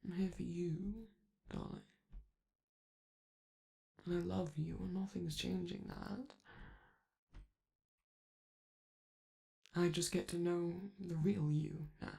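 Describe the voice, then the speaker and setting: A man voicing a flamboyant, affectionate male robot star who speaks softly and teasingly to his partner in a romantic ASMR roleplay. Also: sits high, steady tone, a little slow, gravelly, long pauses, exaggerated voice. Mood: tender. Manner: soft, doting, teasing, reassuring.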